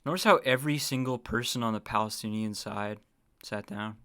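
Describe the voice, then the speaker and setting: A man with a bright, forward voice at an average pitch talks casually on a livestream. He gives opinionated reaction commentary and is blunt about it, swearing freely. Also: fairly quick, clear, resonant tone.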